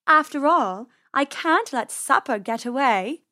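A woman voicing a sly, menacing female cat who speaks in a slow purr. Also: high voice, animated, bright, forceful tone, exaggerated voice. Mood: smug. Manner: slow, purring.

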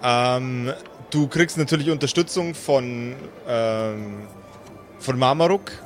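A man narrating an improvised tabletop game as game master, hesitant and pausing mid-sentence. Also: mid-range voice, faint music behind.

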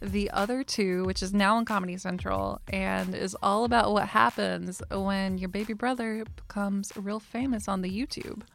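Thirties woman host, loose chatty delivery full of fillers, middle pitch. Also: fairly even intonation, normal speed, creaky, hardly any pauses. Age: 30s. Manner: chatty, laughs often, many fillers. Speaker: podcast host. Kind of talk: casual chat.

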